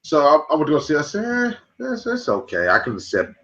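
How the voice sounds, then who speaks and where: A man's voice: a little high, wildly swooping pitch, fast, a bit bright. A man chatting casually on a podcast, rambling with many restarts and some swearing. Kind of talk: casual chat about movies. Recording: dull lo-fi mic.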